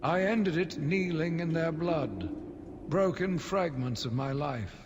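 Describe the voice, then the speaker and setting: An actor playing an embittered, zealous asylum doctor who gives a solemn, theatrical monologue for a video game audio log. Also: male voice, on the high side, dull audio. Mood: grieving, haunted. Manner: solemn, measured, brooding, theatrical. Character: embittered male asylum doctor, grief-stricken, zealous, slowly turning vengeful. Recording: long reverberant echo, loud background noise.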